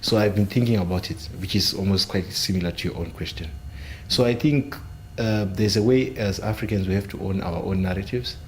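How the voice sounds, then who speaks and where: Male panelist, low register, measured, filler-heavy delivery. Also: slight background noise. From Zimbabwe. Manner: measured, searching for words with many fillers. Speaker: panelist, software engineering student. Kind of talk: panel discussion.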